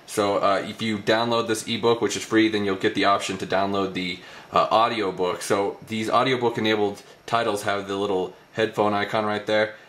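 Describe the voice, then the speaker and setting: Male tech demo host, casual delivery, low pitch, echoey room. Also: flat delivery, moderate pace, fairly bright tone. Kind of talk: casual hands-on product demo. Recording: roomy, echoey indoor recording.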